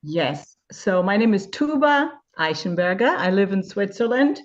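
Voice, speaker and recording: A woman's voice: mid-range voice, big pitch swings, a little slow. Candid German-raised woman on muffled call. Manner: candid, searching for words with many fillers. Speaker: guest sharing her experience. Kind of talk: webinar interview.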